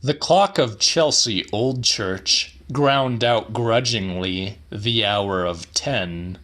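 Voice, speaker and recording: A man reading a literary audiobook in a theatrical, deliberate way, with some drama in the narration. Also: lowish voice, fairly bright tone. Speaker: audiobook reader.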